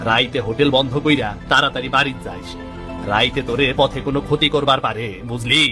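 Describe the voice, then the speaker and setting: A man voicing a concerned, loyal villager who gives measured advice in a cartoon story. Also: mid-range voice, fairly even intonation, music in the background, lightly acted. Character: concerned male villager, cautious loyal friend.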